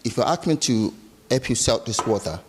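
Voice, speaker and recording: A middle-aged man from Lagos with a Nigerian accent, a business founder chatting as an interview guest, hesitant and quick to laugh. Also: mid-range voice, expressive, a little hiss or hum. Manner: laughs easily, hesitant with many ums. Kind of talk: casual interview chat.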